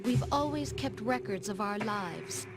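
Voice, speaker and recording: A woman voicing an artificial intelligence in a video game, calmly lecturing with patronizing condescension. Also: medium pitch, a little slow. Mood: calm, condescending. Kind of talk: video game cutscene acting. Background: music.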